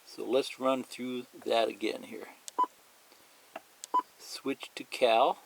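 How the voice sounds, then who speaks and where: A man's voice: medium pitch, steady tone, slow, gravelly, poor audio. A man giving a plain-spoken, step-by-step hands-on demonstration, pointing things out and repeating himself as he goes. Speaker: hobbyist instructor. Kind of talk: step-by-step hands-on tutorial demonstration, partly reading a manual aloud.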